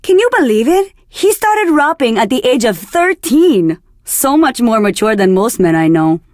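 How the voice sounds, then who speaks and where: A woman's voice: fairly high pitch, sing-song, normal speed, a little mellow, no echo, studio quality. An actress playing a flirty, confident woman radio DJ in a video game, with a seductive, teasing delivery. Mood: flirty, upbeat. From Argentina. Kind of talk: scripted game radio DJ patter.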